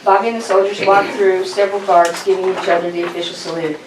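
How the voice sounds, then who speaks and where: A woman's voice: medium pitch, flat delivery, fast, noisy background. A woman reading scripted stage directions as narrator at a table read. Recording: echoey room, distant mic, people around.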